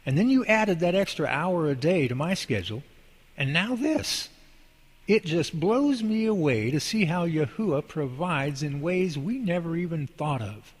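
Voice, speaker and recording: An actor playing an eager, grateful and devout employee in an amateur radio drama, speaking with earnest wonder. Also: male voice, on the high side, animated, unhurried. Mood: amazed, grateful. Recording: long hall reverb.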